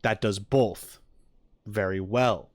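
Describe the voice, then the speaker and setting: A man's voice: fairly low pitch, fairly even intonation, takes it slowly. A man chatting casually to his stream viewers as he reacts to game videos, informal, joking and blunt.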